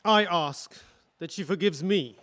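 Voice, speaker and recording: A man playing a husband testifying in a courtroom radio drama, answering quietly and hesitantly. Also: sits high, unhurried. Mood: remorseful. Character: husband witness, subdued and remorseful. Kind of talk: audio drama acting.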